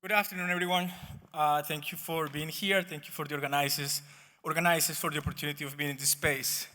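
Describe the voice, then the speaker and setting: High-voiced Colombian man in an echoing hall. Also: sharp tone. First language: Spanish. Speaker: academic conference presenter. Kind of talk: academic presentation. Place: conference session room, speaking to an audience. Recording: reverberant conference hall.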